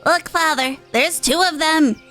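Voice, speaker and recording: A woman voicing an eager, excitable little mousy child from a video game, with childlike squeals. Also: sits high, measured pace, soft background music. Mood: excited. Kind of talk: video game story dialogue read aloud.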